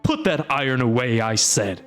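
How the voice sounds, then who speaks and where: A man's voice: medium pitch, very animated, moderate pace, a bit bright, soft background music. A man voicing a huge, bear-headed male monster who roars threats and grumbles indignantly. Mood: blustering grumble. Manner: roaring, bluster turning to grumbling.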